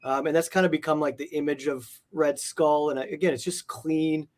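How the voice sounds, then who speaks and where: A man's voice: on the high side, steady tone, a little fast. A man chatting casually and enthusiastically as an interview guest, rambling and peppering his talk with "like". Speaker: interview guest, comic art collector. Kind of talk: casual enthusiastic chat about comic art.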